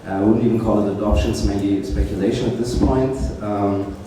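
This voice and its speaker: A man speaking as a venture investor on a conference panel, measured, with many "um" pauses. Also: lowish voice, steady tone. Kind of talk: casual panel talk. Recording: echoey hall, distant mic, clear hum.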